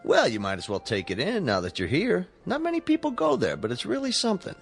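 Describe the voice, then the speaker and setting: A man's voice: sits high, wildly swooping pitch, fast, gravelly, soft background music, heavily acted. An actor playing a folksy, no-nonsense male museum guard in a radio drama, plain-spoken and casual. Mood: helpful, chatty.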